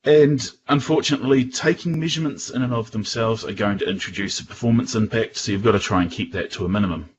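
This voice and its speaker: A New Zealand man gives a casual technical talk in a fairly low voice. He speaks haltingly, stopping to rephrase as he goes. The sound is somewhat muffled, with a light layer of noise. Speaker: guest presenter at a tech user group. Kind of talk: informal technical presentation.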